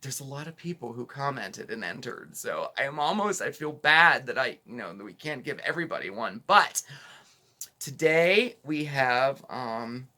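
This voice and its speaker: A middle-aged man hosts his cooking channel in an easygoing, rambling way, full of fillers and restarts. His tone is bright and forward, with a touch of hoarseness from a fading voice. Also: mid-range voice, lively intonation, normal speed. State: losing his voice, a bit hoarse. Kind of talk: casual chat to viewers.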